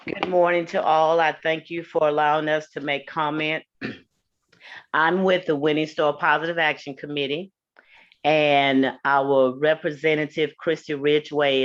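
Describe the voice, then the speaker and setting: Low-voiced woman on dull remote call. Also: level tone, a little slow. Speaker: remote public commenter for a community group. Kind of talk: formal public comment. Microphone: remote meeting call.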